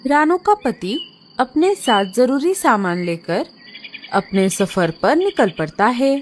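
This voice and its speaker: A woman narrating a children's cartoon story in a slow, expressive storytelling manner. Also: on the high side, a little hiss or hum.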